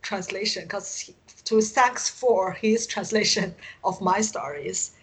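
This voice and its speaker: A woman with a Chinese accent and a medium-pitched voice talks casually as an interview guest over an internet call. Chinese is her native language, so her English comes haltingly as she searches for words, and she often chuckles. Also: slightly rough, poor audio. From China. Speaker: interview guest, science fiction writer and translator.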